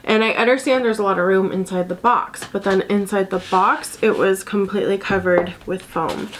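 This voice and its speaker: A woman's voice: medium pitch, steady tone, moderate pace, slight background noise, low-quality recording. Stuffy, chatty young woman vlogger. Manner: chatty and bubbly, with fillers and laughs. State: sick and stuffy. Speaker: beauty vlogger. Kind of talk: casual product review.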